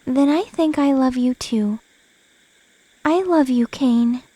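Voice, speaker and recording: Woman as gentle guardian angel for audio drama: high, unhurried, sweet and coy, full of affection. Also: no echo, low-quality recording. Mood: tender affection.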